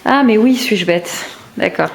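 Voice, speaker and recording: A woman's voice: mid-range voice, a little slow, creaky. A woman speaks in an ordinary mid-range voice. She chats casually like a mother hosting a home video, prompting with playful, encouraging questions. The room is echoey, with faint noise behind her. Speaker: mother presenting a home drawing video with child. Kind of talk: casual chat, asking questions.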